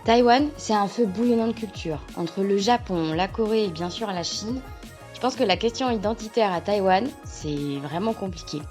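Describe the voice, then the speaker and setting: A woman's voice: medium pitch, lively intonation, moderate pace. Woman doing laid back travel voice-over, music playing under. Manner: easygoing, personal narration. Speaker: travel show narrator. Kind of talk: voice-over narration.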